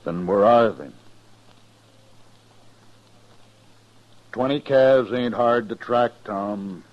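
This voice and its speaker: A man's voice: on the low side, unhurried, no room sound, natural voice. An American actor playing a stern, terse, grieving rancher father in an old radio western. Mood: stern, demanding. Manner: terse, commanding, grim. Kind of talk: 1950s radio western acting. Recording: dull vintage radio recording.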